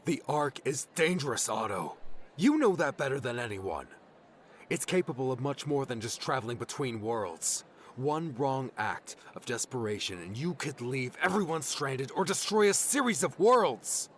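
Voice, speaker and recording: A man voices a hardened young hero in an audiobook drama. His delivery is terse and resolute, with pitch that moves widely over faint outdoor background noise. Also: expressive, moderate pace, lightly acted. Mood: grim, resolute.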